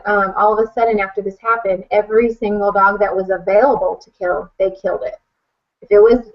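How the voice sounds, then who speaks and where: A woman speaking emphatically and frankly as a podcast guest, giving a casual personal account. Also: mid-range voice. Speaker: animal rescue advocate, podcast guest. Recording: dull lo-fi podcast recording.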